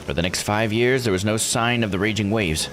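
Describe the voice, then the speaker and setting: A man reading as an earnest, low-voiced villager. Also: a little fast, background noise, lightly acted. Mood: solemn, grateful. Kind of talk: reading visual novel dialogue aloud. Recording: music under the voice.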